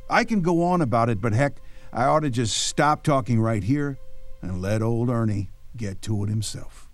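A male host giving scripted narration in a relaxed, conversational manner. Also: mid-range voice, moderate pace, soft background music. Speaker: podcast host.

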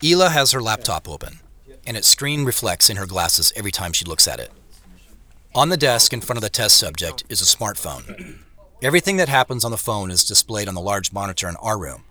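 A man's voice: on the low side, very animated, brisk, bright, forceful tone, exaggerated voice. A man playing an obsessive professional puzzling over a mystery, narrating in a confiding, conversational way as if reasoning aloud. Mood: focused. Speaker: narrator and lead character. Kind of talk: first-person audio drama narration, conversational.

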